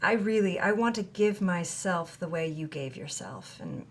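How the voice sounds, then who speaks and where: A woman's voice: lowish voice, animated, normal speed, natural voice. An actress playing an earnest, admiring female interviewer in an audio drama, with conversational delivery. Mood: yearning. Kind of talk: audio fiction dialogue and read-aloud.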